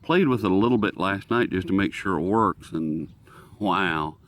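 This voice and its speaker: Male, American accent, low voice; informal hobby reviewer, hesitant and off the cuff, recorded outdoors. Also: sing-song, fairly bright tone. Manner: hesitant, halting, thinking aloud. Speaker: host of a radio review video. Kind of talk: casual, unscripted product review.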